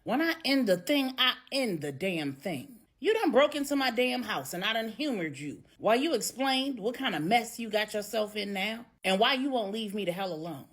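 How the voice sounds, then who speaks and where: A fearless older American grandmother in an audio story, acted out by a woman. She is blunt, sassy and fed up, with a husky edge. Her pitch swings hard as she leans on her words. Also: lively intonation, moderate pace, few pauses, fairly bright tone. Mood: fed up, firm. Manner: sassy, blunt, emphatic street talk.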